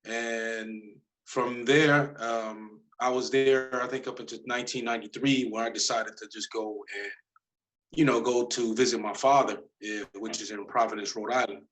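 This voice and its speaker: A man, an interview guest telling his story in a relaxed, rambling way with street slang. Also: medium pitch, normal speed, rough recording. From Detroit, United States. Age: 40s. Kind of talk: casual storytelling.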